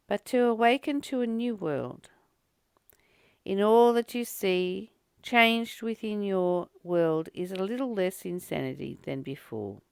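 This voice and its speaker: A woman reads and reflects on spiritual text at a very slow, deliberate pace. Her voice sits a little low, with plenty of fry in it. She phrases things in measured pieces, as a host guiding a daily study. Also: a little mellow, no echo. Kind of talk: reading a spiritual text aloud with commentary.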